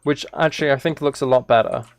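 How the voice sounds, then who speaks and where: Creaky-voiced man chatting on a livestream. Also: medium pitch, steady tone, fast. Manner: thinking aloud, self-correcting. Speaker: live streamer. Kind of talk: casual gaming livestream commentary, chatting with viewers.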